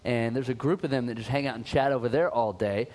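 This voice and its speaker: A male podcast co-host in relaxed, upbeat chat. He talks in loose, rambling runs with frequent fillers and restarts. His voice sits at an ordinary middle pitch. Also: fast talker, poor audio. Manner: chatty, filler-heavy, upbeat.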